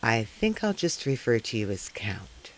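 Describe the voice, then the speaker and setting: A man playing a probing, skeptical talk show host in comic live radio theater, with a deadpan, announcer-like delivery. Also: mid-range voice, a little slow. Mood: businesslike.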